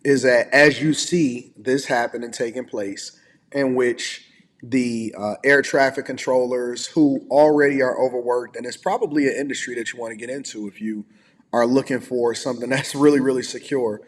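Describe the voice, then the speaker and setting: A man talks through his opinions on the news in a casual commentator's style. His voice sits at a middle pitch. The room adds a long reverb around it. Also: fairly even intonation, normal speed. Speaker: online commentator.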